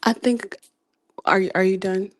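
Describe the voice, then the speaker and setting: A woman hosting a podcast who calmly reassures a caller and gives advice, speaking softly with frequent pauses and fillers. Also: mid-range voice. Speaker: podcast host and relationship coach. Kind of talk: calm advice-giving talk.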